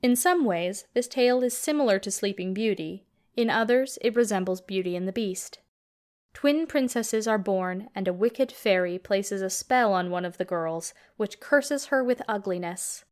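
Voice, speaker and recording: A woman with a medium-pitched voice delivers scripted narration. She tells it as a storyteller would, in the role of a presenter introducing orchestral music. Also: moderate pace.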